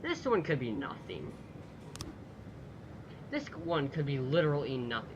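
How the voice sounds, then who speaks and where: A man's voice: medium pitch, huge pitch swings, a little slow, muffled recording, noisy background. A man giving casual gameplay commentary as a video host, muttering to himself, swearing and reading text aloud.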